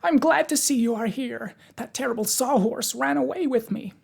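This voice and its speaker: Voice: medium pitch, fairly even intonation, moderate pace, vocal fry, darkish tone, slightly rough, voice pushed up. A reader voicing an anxious, childlike wooden man with a pumpkin head in a storybook, plaintive and naive. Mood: delighted, eager.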